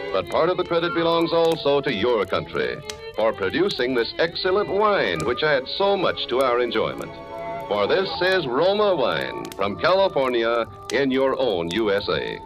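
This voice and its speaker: A man announcing a scripted commercial in 1940s radio style, polished and emphatic, with deliberate enunciation. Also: mid-range voice, a bit bright. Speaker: radio announcer, sponsor pitchman. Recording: old radio broadcast, faint music.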